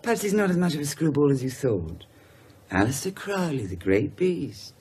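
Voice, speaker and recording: An actor playing a nosy, playful dinner companion in a radio drama, teasing and wry. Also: male voice, fairly high pitch, very animated, moderate pace, darkish tone, husky, heavily acted.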